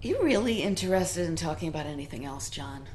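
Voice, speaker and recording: Blunt middle-aged woman, lowish voice, dry, curt, weary, radio drama. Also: expressive, fairly quick, a little mellow, slight background noise. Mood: guarded, weary. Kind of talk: audio drama acting.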